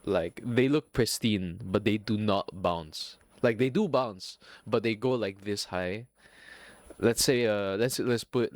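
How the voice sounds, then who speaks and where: A young man with a Filipino accent hosts his own podcast, rambling loosely from one thing to the next and swearing constantly in a self-mocking way. His voice sits a little low. The sound is dry and close, with no room around it. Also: no room sound. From Singapore. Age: young adult. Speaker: podcast host. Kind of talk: casual solo podcast rambling.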